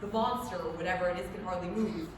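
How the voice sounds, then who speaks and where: Woman playing excitable radio reporter, distant and echoey. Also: on the low side, fast talker, lightly acted. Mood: tense, awed. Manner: breathless, dramatic eyewitness reporting. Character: male radio field reporter, awed then panicked eyewitness. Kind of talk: staged reading of 1938 radio drama. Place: public library room, staged reading. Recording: distant, echoey room with clear noise.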